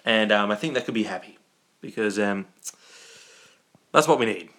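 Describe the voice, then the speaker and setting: Livestream host, male, Australian accent. Bright, forward tone; casual, jokey rambling with frequent self-interruption. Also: on the low side, expressive, normal speed, slight background noise.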